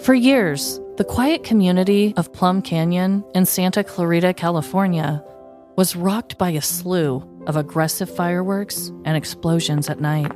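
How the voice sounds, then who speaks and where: Female podcast host, slightly low voice, wry and playful scripted narration over light music. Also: normal speed. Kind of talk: scripted comic true-crime storytelling.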